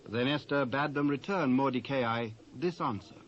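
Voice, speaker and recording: A man with a British accent reading scripture as a measured, formal narrator. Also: medium pitch. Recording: dry, dull old cassette transfer.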